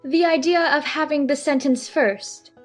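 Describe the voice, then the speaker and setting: A spirited young girl answers back loudly in a high voice, as a male narrator puts her on. She speaks slowly and with plenty of feeling. Soft music plays underneath. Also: steady tone. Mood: defiant. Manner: defiant, loud.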